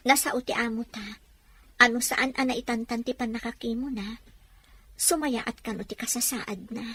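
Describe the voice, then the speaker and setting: A woman's voice: on the high side, steady tone, normal speed, bright, forceful tone, slightly rough. An actress with an Ilocano accent playing a worried, pleading mother who works away from home in a radio drama. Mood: sorrowful.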